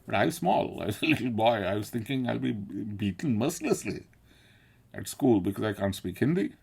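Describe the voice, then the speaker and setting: A man's voice: on the low side, animated, fairly quick, vocal fry, a little mellow, breathy edge. A man from South India, talking in a casual, digressive monologue, laughing often and swearing. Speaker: video essayist. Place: London.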